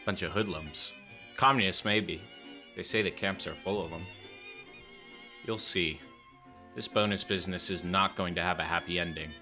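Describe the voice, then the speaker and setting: Low, bright-voiced flirtatious lover. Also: male voice, moderate pace, pauses often, soft background music. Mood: grim, uneasy. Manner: playful, theatrical wooing. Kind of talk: radio play acting.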